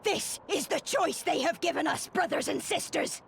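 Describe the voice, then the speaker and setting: A woman's voice: high-pitched, moderate pace, vocal fry, slight background noise. A woman playing a fervent, vengeful rebel agitator in a video game, declaiming with theatrical passion. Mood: furious defiance. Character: fervent vengeful female rebel agitator rallying commoners against nobles. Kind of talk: dramatic video game voice acting, impassioned oratory.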